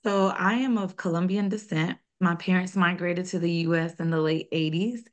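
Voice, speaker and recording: A woman's voice: lowish voice, fairly even intonation, moderate pace. A woman, answering interview questions earnestly with frequent restarts. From United States (North Carolina). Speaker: guest, nonprofit founder and social worker.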